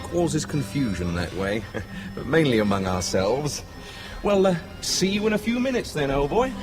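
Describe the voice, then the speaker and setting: An actor playing a smooth, jovial spy posing as an old chum in an audio drama, with a chummy, hesitant manner. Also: male voice, a little high, big pitch swings, normal speed. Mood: affable, evasive. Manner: chummy, hesitant, addresses others as old boy. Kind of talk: spy radio drama acting. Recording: music behind the voice.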